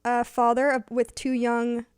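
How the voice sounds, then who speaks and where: A woman's voice: fairly high pitch, completely flat delivery, a little slow, clean tone, no echo, clean studio sound. A woman co-hosting an unscripted, casual chat about books. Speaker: book talk co-host, English teacher.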